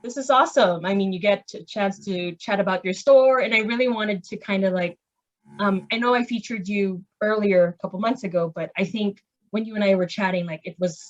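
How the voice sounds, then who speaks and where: A woman's voice: medium pitch, brisk. A woman hosting a video call interview, chatty and a little rambling. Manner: chatty, friendly, rambling with fillers and laughs. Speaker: interview host. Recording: dull lo-fi call audio.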